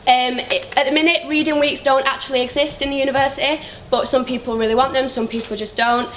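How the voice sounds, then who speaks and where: An upbeat young woman pitches herself for a student union post, her voice a little high and full of quick uhs. A faint noise sits underneath her. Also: fairly even intonation, fairly bright tone. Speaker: student union officer and candidate. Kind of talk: hustings speech.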